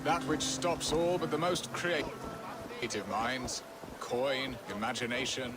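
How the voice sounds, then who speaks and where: An actor playing a self-pitying, romantic Saxon lord in a video game, with a theatrical, wistful and dithering manner. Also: male voice, mid-range voice, fairly even intonation, slow pace, noisy background, close to an everyday voice. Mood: dreamy, grandiose. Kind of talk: video game drama acting.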